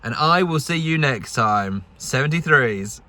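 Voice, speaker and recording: A man's voice: medium pitch, animated, measured pace, pushed and bright. A man presenting a casual amateur radio vlog, recorded on a phone in and around a vehicle. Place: outdoors and in a vehicle. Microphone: phone camera.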